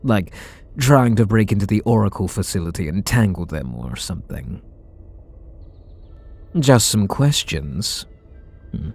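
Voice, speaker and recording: A man's voice: lowish voice, sing-song, unhurried, vocal fry, long pauses, a little mellow, slightly rough, exaggerated voice. A man voicing a bitter, sarcastic supervillain in an ASMR roleplay audio drama, talking in a sardonic, mocking way. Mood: sneering. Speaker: voice actor.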